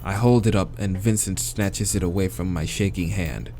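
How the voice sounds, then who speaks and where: An American man reading a visual novel aloud as a let's-play host, dramatic in the narration and breaking into slangy, expressive asides. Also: sits low, normal speed. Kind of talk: dramatic read-aloud with casual reactions.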